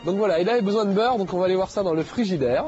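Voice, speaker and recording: A man's voice: high-pitched, rapid-fire, darkish tone, lo-fi sound, soft background music. A man hosting a game show, talking casually over gameplay with a slight nasal head cold and lots of fillers. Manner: chatty, jokey, many fillers.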